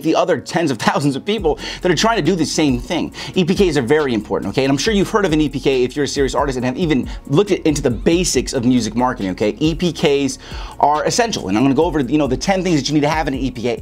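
A young American man presents an informal tutorial. He talks very quickly in a slightly high voice, with slangy, emphatic phrasing and frequent check-ins with the listener. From Chicago, United States. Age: young adult. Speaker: host of a music marketing video for rappers. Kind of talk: casual instructional talk to camera. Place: small home studio room, indoors.

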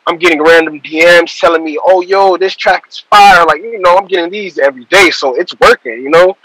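A young man rapper on a video call, talking loose and slangy and trailing off mid-sentence. His voice sits a bit high for a man and sounds bright and forceful. The sound is close and dry. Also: fairly quick, dry recording, low-quality recording. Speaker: rapper guest. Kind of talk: casual interview talk.

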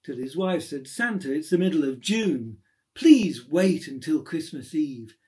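Middle-aged man, slightly high voice, theatrical rhyming story reading, indoors. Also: lively intonation, a little slow, a little mellow. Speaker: poem reader. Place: small room at home.

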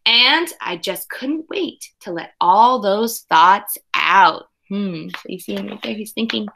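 A woman in her thirties reading a picture book aloud to young children with expressive emphasis and casual asides, in a room at home. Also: mid-range voice, slow, gravelly. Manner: expressive, exaggerated storytelling emphasis. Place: small room at home, indoors.

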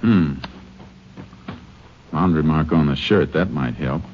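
An actor playing a calm, determined Texas Ranger in a 1950 radio western, speaking in clipped, matter-of-fact lines. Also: male voice, rumbling low, slow pace. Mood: businesslike. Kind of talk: 1950 radio crime western acting and narration. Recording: muffled, noisy old 1950 radio recording.

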